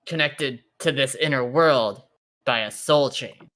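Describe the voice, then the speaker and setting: A man's voice: mid-range voice, slow pace, a bit bright. A man taking part in a tabletop roleplay, talking casually and musingly, often repeating his phrases. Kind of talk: casual in-character roleplay chat.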